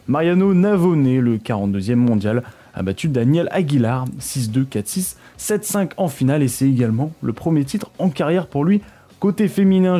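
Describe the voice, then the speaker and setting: A man's voice: mid-range voice, warm tone. A man from France presenting a weekly sports news roundup in a chatty way, talking straight to his viewers and stumbling over foreign names.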